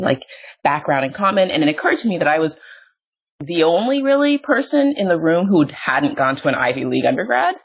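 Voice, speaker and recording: A woman's voice: sits low, husky. A woman hosting a podcast interview, talking candidly and with self-deprecating humor, often breaking into laughs. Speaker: podcast host. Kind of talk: conversational interview. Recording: dull lo-fi audio.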